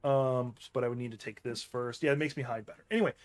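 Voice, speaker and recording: A man's voice: mid-range voice, steady tone, quick pace. A man streaming an action game, giving loose, rambling commentary as he plays. He often corrects himself mid-sentence and chats to his viewers. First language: English. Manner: rambling, self-correcting, talks to chat as he plays. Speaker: gaming streamer. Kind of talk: casual let's-play commentary while playing.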